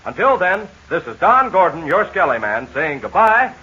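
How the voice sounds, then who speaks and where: A man announcing a 1940s children's radio serial, pitching eagerly to young listeners in a muffled old transcription. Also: very animated, moderate pace, sharp tone, breathy edge.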